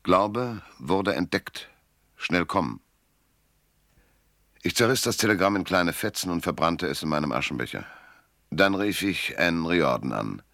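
An actor playing a wry, laconic private detective who narrates and talks in a German noir radio drama. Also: male voice, deep voice, expressive, measured pace, gravelly, pauses often, fairly bright tone, heavily acted. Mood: wry narrating. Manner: laconic, sardonic. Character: hard-boiled, wry male private detective and first-person narrator.

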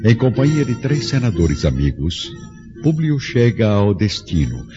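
A man's voice: on the low side, sing-song, measured pace, dark tone. A man reading scripted narration for a radio drama in a solemn, literary manner. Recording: muffled recording with faint music.

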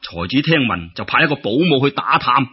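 A man's voice: mid-range voice, very animated, fairly quick, breathy edge, muffled recording. A man with a Cantonese accent telling a folk tale in a theatrical, colloquial and exclamatory storytelling style. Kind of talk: dramatic traditional folk-tale narration.

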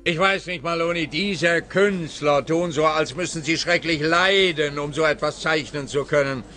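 A man's voice: fairly high pitch, normal speed, bright, forceful tone, soft background music. An actor playing a pompous, grumbling, self-righteous policeman in a comic radio play, speaking in an emphatic, lamenting way. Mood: smug. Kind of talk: radio play comedy dialogue.

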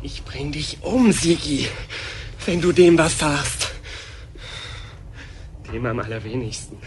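An actor playing a desperate, hunted young deserter in a radio drama, with a North German accent and terse, urgent commands. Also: male voice, a little high, expressive, takes it slowly, noisy background. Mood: threatening. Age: young adult. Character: desperate young army deserter, older brother, threatening and hunted.

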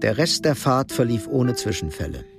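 A man narrating a German radio crime drama in a measured, sober way. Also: mid-range voice, sing-song, gravelly. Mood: matter-of-fact. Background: music.

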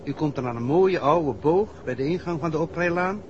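An old, dull radio recording with faint noise features an actor playing a country clergyman. The man's voice is fairly high, and he is courteous, unhurried and chatty, as in 1960s radio drama. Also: close to an everyday voice. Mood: genial, advising. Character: helpful, chatty country clergyman giving directions.

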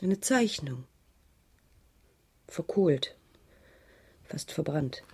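Troubled female schoolteacher, low husky voice, hesitant, defensive, subdued radio crime drama delivery. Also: lively intonation, drawn-out words, exaggerated voice. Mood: defensive, grim. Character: troubled, evasive female schoolteacher under police questioning. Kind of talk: 1980s German radio crime drama acting.

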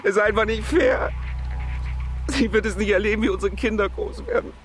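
Halting, shy working man played by an actor. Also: high voice, steady tone, soft background music, lightly acted. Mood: grief-stricken. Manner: halting, emotional. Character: shy, grief-stricken assembly-line worker and father. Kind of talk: naturalistic German radio drama acting.

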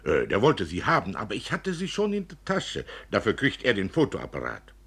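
Man playing a blunt, husky tough boy. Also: on the low side, huge pitch swings, normal speed, a bit bright, heavily acted. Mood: boastful. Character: tough, shrewd Italian boy, boastful and blunt. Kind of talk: radio play literary reading.

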